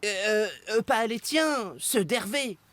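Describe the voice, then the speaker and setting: A woman voicing a bright, helpful cartoon schoolboy who explains things eagerly, with music behind the voice. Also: fairly high pitch, slow pace. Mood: explaining. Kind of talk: French-dubbed cartoon acting.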